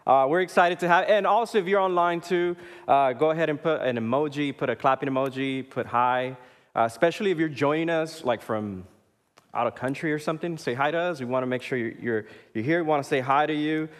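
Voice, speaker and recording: A man in his thirties, a pastor preaching a sermon in a relaxed, conversational way, often pausing with fillers and restarting his sentences. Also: a little high. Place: church sanctuary. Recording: live church hall with long reverb.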